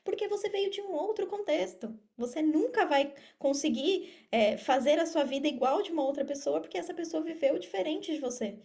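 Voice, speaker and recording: A woman's voice: high-pitched, animated, brisk, few pauses, a little mellow. A Brazilian woman giving casual, motivational interview answers as a podcast guest, emphatic and encouraging. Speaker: podcast guest, affiliate marketer. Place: remote video call.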